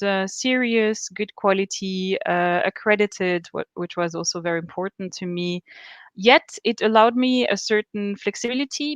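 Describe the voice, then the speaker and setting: A woman in her thirties, originally from Austria, talks as an interview guest on a video call. Her voice is smooth and resonant, and the sound is dry and close. She answers thoughtfully, with pauses and fillers. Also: medium pitch, fairly bright tone, dry recording. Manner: thoughtful, many fillers and restarts. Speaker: student guest.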